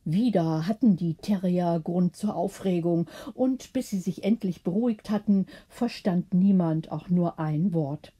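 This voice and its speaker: A woman narrating a bedtime audiobook story in a measured, expressive storytelling manner. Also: fairly low pitch, slightly rough.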